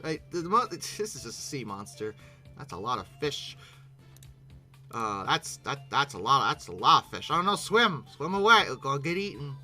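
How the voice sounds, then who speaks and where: A bright, forceful voice with very lively, swinging intonation, hosting a casual reaction video. The speaker is bemused and humorous, stumbling and repeating words while exclaiming, with music in the background. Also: medium pitch, normal speed. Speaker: YouTube host.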